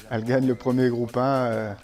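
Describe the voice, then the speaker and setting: Relaxed middle-aged male horse trainer. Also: mid-range voice. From Manche, Normandy, France. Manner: relaxed, halting with frequent restarts. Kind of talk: casual interview.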